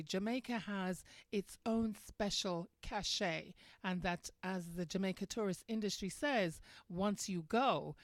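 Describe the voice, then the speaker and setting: A woman's voice: mid-range voice, darkish tone, no echo. A woman hosting a live internet radio show, talking conversationally and putting questions to her listeners. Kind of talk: live internet radio commentary, addressing chat room. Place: radio studio.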